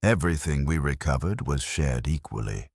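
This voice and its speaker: A man narrating a scripted first-person survival story in a grave, measured manner. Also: very deep voice, lively intonation, darkish tone, no echo, studio quality.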